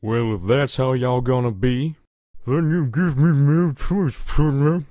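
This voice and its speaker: Man as a mellow Southern farm stallion. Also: mid-range voice, slow, dry recording, natural voice. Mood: insistent. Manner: drawling, folksy. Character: easygoing but determined young farm stallion.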